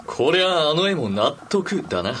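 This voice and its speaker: A man's voice: a little high, big pitch swings, slow, fairly bright tone, a little hiss or hum, heavily acted. A man playing a laid-back, cool young team member in a radio drama, talking in a casual, blunt way. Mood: easygoing. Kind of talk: Japanese anime-style radio drama acting.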